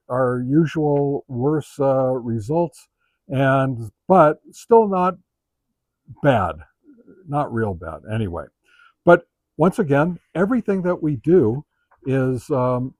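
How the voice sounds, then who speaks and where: A man giving a casual, unscripted product review as host, talking in a halting, conversational way as he thinks aloud. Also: mid-range voice, a little mellow, dry recording.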